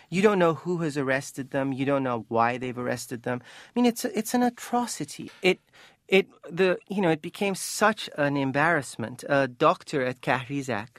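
A man's voice: a little high, no room sound, clean studio sound. A man from Iran speaking as an author and interview guest, thoughtful, earnest and impassioned, sometimes reading from his book.

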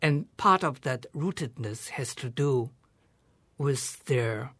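A man in his seventies from China, a guest scholar giving measured, thoughtful interview answers and pausing to rephrase. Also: mid-range voice, animated, fairly bright tone, husky, dry recording.

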